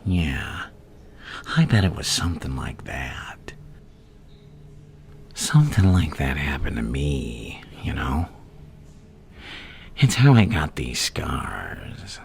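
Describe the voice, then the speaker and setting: Crazy evil clown villain, gravelly half whisper asmr, super slow and taunting, swings up and down a lot, some background noise. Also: male voice, extremely low pitch, big pitch swings, exaggerated voice. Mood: probing, sinister. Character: menacing, gleefully insane adult male supervillain clown.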